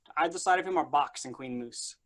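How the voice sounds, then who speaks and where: Higher-pitched man narrating a script. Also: quick pace. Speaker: stage directions reader.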